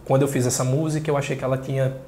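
A man in his thirties with a Pernambuco accent from northeastern Brazil, talking casually in a soft voice as an interview guest. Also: medium pitch, flat delivery, rapid-fire, background noise. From Caruaru, northeastern Brazil. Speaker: interview guest, singer-songwriter and journalist. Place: small indoor room. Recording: echoey small room.

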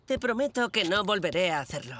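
Cast a woman as a bratty scheming cartoon kid, bright tone, bouncy delivery. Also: medium pitch, a little fast, natural voice. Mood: gleeful. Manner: boastful, excitable. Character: mischievous young boy playing a vampire. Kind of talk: cartoon dubbing.

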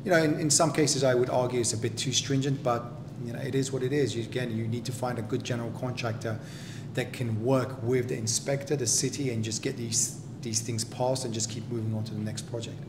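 A man, a property developer, explaining construction inspections in a practical, measured way as his wife interviews him. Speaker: property developer and general contractor. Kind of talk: explaining in a relaxed home interview. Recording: echoey room with steady rumble.

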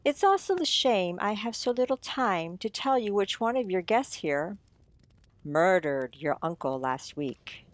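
A woman playing a suave mystery writer in a radio drama, telling the tale in a deliberate, teasing, theatrical manner. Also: mid-range voice, sing-song, no room sound. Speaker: audio drama actor. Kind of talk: old-time radio mystery narration.

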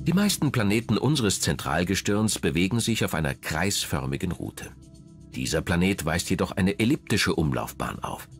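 A man reading scripted documentary narration as the narrator. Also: sits low.

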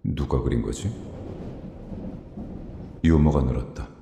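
A man's voice: very deep voice, slow, gravelly, exaggerated voice. A man playing a weary, self-mocking war veteran narrating his nightmare in a wry, brooding way. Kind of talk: scripted inner-monologue narration. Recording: echoey long reverb, noisy ambience.

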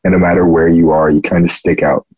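A teenage boy with a very low, husky voice, speaking in a measured, earnest way. The audio is dull and dry, the kind that comes from a home webcam call. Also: flat delivery, a little mellow, dry recording. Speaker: interviewee. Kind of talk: documentary interview.

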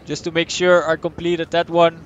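A young man from the Netherlands with a Dutch accent, chatting casually as he streams a game, answering chat and thinking out loud. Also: a little high, completely flat delivery, normal speed, muffled recording, slight background noise. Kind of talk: live gameplay commentary, reading chat.

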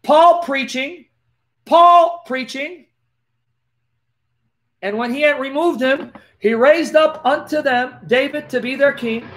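High-voiced man with a lisp. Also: slow, pauses often. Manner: animated, preacherly, gestures while talking. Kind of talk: live Q&A, reading Bible verses aloud. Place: small dim indoor room. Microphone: laptop webcam, close.

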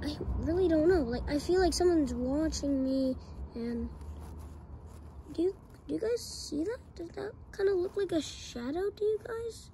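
A female performer voicing a frightened, sleepless boy in an amateur horror skit, whining, halting and pleading as he repeats himself. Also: very high-pitched, animated, warm tone. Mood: uneasy. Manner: whiny, halting, repetitive, pleading. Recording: noisy homemade recording.

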